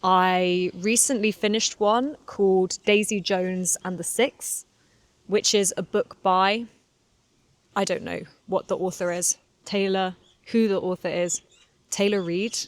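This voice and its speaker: Slow-talking Southern English woman teacher. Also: mid-range voice, steady tone, no room sound. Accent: Southern English, slightly London. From Cornwall, England. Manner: chatty and candid, laughs often, many fillers. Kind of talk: relaxed solo podcast monologue for learners.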